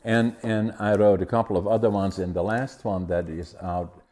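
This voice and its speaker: An older man from the Netherlands with a Dutch accent, telling his life story in an interview with animated restarts. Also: fairly low pitch, moderate pace. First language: Dutch dialect. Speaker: guest. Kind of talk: conversational interview.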